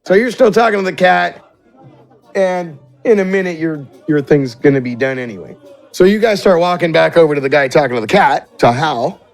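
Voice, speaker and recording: A man's voice: on the high side, expressive, fairly quick, faint music behind. A man working as game master, narrating an improvised tabletop game in a casual, sweary way and acting out characters. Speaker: game master and show host.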